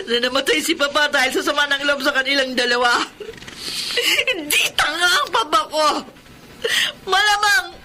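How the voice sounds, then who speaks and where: A very high-pitched, piercing daughter in a radio drama. She speaks with wounded, accusing emotion and a sharp, bright edge. There is faint noise in the background. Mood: grieving, bitter. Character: anguished, outraged grown daughter betrayed by her mother. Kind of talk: Tagalog radio drama acting.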